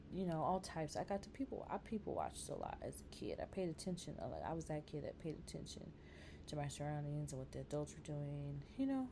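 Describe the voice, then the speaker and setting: Chatty woman in her thirties, lowish voice. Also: noisy background. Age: 30s. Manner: chatty, rambling, encouraging, many fillers. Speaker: vlogger. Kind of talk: casual talking-to-camera vlog.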